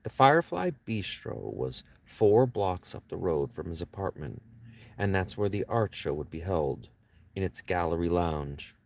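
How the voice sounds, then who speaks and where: A man's voice: deep voice, big pitch swings, measured pace, gravelly. A man, an author reading his own novel aloud as an audiobook narration, with casual asides to listeners.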